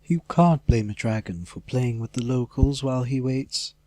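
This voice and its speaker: A man's voice: mid-range voice, moderate pace, warm tone, no room sound, natural voice. A man voicing a haughty aristocratic dragon villain in a fantasy audiobook, mocking and nastily polite.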